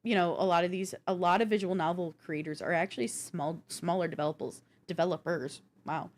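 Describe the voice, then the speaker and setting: A woman co-hosting a podcast in casual gaming chat, telling things in an animated, self-deprecating way. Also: fairly low pitch, brisk. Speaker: podcast co-host.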